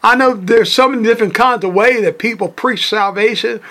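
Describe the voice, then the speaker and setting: A man's voice: sits high, brisk, bright, forceful tone. A man preaching fervently on his podcast, repeating phrases as he builds his points. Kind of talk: sermon.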